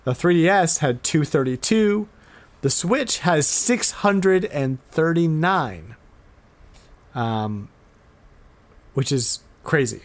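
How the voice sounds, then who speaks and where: A man hosting a podcast, chatting casually and informally, often halting and repeating words as he goes. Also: on the high side, expressive. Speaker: podcast host. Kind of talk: casual chat about retro game news.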